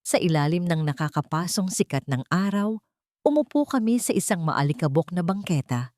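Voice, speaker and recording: A woman narrates a scripted first-person dramatic story in a measured, solemn, literary manner. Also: on the low side, lively intonation, darkish tone, no echo, clean studio sound.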